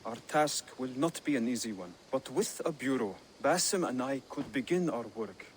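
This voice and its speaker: An earnest, devoted young assassin voiced by a man speaks in a medium-pitched voice. He explains things formally and solemnly, as in game drama. Ambient noise is clearly heard around him. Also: animated, normal speed, lightly acted. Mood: solemn, fervent. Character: earnest young male assassin scholar, devoted to his creed. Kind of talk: dramatic video game cutscene acting.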